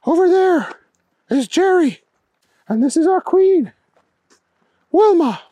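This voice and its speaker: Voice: very high voice, animated, slow pace, mellow, dry recording, voice pushed up, exaggerated voice. A storyteller voicing a solemn king of small alien creatures in a children's-style comic tale. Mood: imperious. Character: solemn alien king of big-footed little people.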